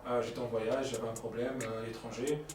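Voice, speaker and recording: A man speaking softly in a casual group talk, his sentences trailing off. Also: medium pitch, flat delivery, a little fast. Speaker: panel guest. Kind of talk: casual conversation. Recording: very echoey room, distant mic, music behind.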